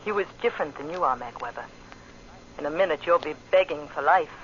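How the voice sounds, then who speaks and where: Vengeful radio-drama avenger: bitter, brooding, intense, sharp-edged voice, noisy vintage recording. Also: male voice, fairly high pitch, animated, a little fast, lo-fi sound. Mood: cold, bitter. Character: bitter, vengeful gunman bent on revenge. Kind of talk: old-time radio drama acting.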